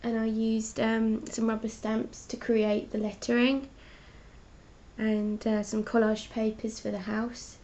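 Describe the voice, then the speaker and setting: A woman's voice: fairly high pitch, flat delivery, takes it slowly, dark tone. A woman artist with a cold and a stuffy voice, chatting casually as she hosts her own live stream and explains her paintings. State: congested with a cold. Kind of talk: casual art chat, showing paintings.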